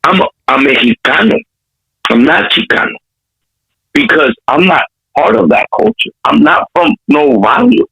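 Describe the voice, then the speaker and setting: A man's voice: fairly high pitch, very animated, normal speed, vocal fry, bright, forceful tone, slightly rough, muffled recording. A man from Compton with an urban Los Angeles accent, telling stories as a rapper in a casual interview, using streetwise slang and frequent swearing. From Compton, California. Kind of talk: animated storytelling in a casual interview.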